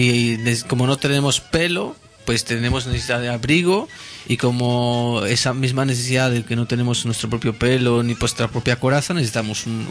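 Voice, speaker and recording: A man's voice: medium pitch, fairly bright tone. A man explaining ideas in casual conversation, hesitant, with many fillers and restarts.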